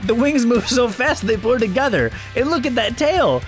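A man voicing an excitable, insistent hedgehog hero from a video game, talking emphatically. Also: extremely high pitch, normal speed, faint music behind, voice pushed up.

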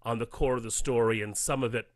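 A man's voice: medium pitch, fairly even intonation, quick pace, no room sound, clean studio sound. A man speaking as an interview guest, explaining his process casually, step by step. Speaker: podcast guest, audio drama adapter.

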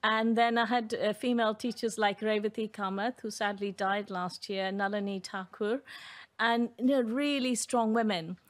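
A woman's voice: mid-range voice, steady tone, moderate pace. Woman in her fifties from India. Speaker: architect, guest. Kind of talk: panel interview answer. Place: room set up for broadcast. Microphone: studio mic, headphones.

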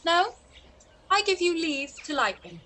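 A woman's voice: extremely high pitch, big pitch swings, measured pace, full character voice. A young woman playing a witty, spirited Regency daughter, wry and poised, in a period drama read-through. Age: young adult. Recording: noisy home video call.

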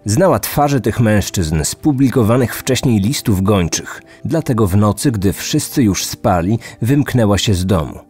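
A man's voice: fairly low pitch, animated, normal speed, hardly any pauses, a little mellow, slightly rough. Male true-crime narrator over music. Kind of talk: scripted narration. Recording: music under the voice. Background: background music.

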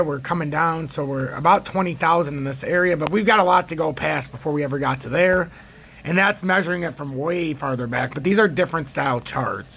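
An American man with a gravelly, husky voice giving casual, rambling, opinionated chart commentary as a trading video host. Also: a little high, pushed and bright, a little hiss or hum.

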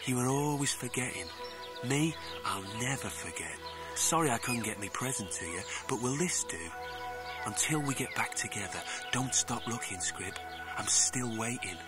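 A man's voice: medium pitch, lively intonation, normal speed, loud music behind the voice, close to an everyday voice. A man voicing a lost, loving sister in an audiobook, tender and pleading. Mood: wistful, loving.

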